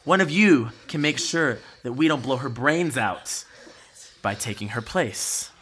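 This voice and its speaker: A man playing a cruel, mock-polite gunman in an audio drama, speaking with theatrical, deliberate menace. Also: medium pitch, expressive, fairly bright tone, a little hiss or hum. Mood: mocking, taunting. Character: cruel, taunting male gunman leading a hostage robbery.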